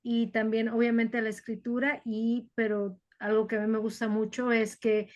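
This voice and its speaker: A woman's voice: on the high side, completely flat delivery, moderate pace, darkish tone. Woman, workshop presenter, thoughtful, hesitant, video call. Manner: thoughtful, hesitant with fillers and restarts. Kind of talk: online workshop talk, switching to English.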